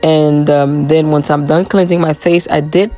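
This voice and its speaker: A woman narrating a voiceover for her morning routine vlog, hoarse from a cold, chatty and relaxed with frequent "um"s. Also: sits low, moderate pace, faint music behind. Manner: relaxed, chatty, many "um" fillers. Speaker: vlogger.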